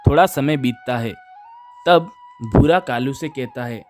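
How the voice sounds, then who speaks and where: A man's voice: mid-range voice, unhurried, a little mellow. A man narrating a scripted children's moral story. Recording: music under the voice. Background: background music.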